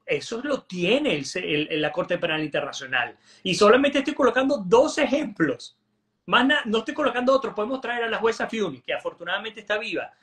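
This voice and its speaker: A man's voice: sits high, expressive, a little fast, a bit bright. A man, giving emphatic political commentary as a guest on a video call, often repeating words as he restarts. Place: home room indoors. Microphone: video call webcam.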